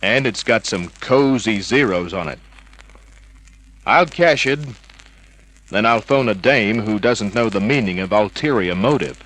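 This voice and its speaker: An actor playing a cocky, wisecracking hard-boiled private investigator in an old-time radio drama, flippant, slangy and smug. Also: male voice, lowish voice, animated, measured pace, pauses often, a bit bright, low-quality recording. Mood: worldly, flippant.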